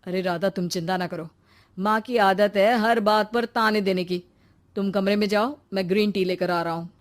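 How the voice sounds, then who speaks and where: A woman's voice: mid-range voice, steady tone, moderate pace, close to an everyday voice. Woman voicing a protective, exasperated young husband. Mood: reassuring. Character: protective, exasperated young married son, an engineer. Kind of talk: animated Hindi moral story acting.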